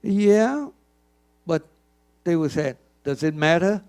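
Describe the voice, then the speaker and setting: A man's voice: a little high, animated, slow pace, a little mellow, clear, resonant tone. An older man giving a conference keynote, emphatic, asking the audience questions and often repeating words. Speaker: keynote speaker. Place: conference hall.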